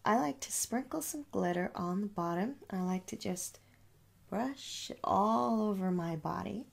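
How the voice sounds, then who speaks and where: A woman in her thirties doing a soft-spoken ASMR shop roleplay, gently reassuring and repeating words for effect. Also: medium pitch, a little slow, creaky, husky. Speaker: ASMR performer playing a shop assistant. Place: small room indoors.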